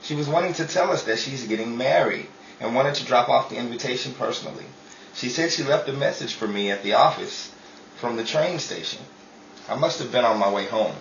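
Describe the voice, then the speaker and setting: An actor playing a mild, chatty husband in an old-time radio comedy set in 1930s Hollywood. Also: male voice, medium pitch, lively intonation, a little fast, gravelly, a bit bright, slight background noise. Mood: mild, curious. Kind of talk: old-time radio play acting before an audience. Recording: dull, echoey live-stage recording from far off.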